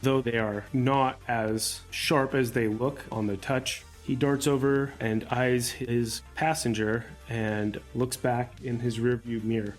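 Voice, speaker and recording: A man describes his tabletop game character in deliberate, short phrases. He takes his time, with a mid-pitched voice and soft guitar music in the background. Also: flat delivery. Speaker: tabletop roleplaying player.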